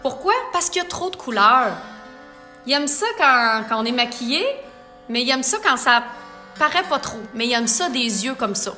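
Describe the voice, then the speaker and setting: A high, bright-toned woman with a Quebec French accent talks viewers through her makeup in a live tutorial. She is chatty and playful, often reading comments aloud. Soft music plays faintly behind her. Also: animated, normal speed. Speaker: makeup artist.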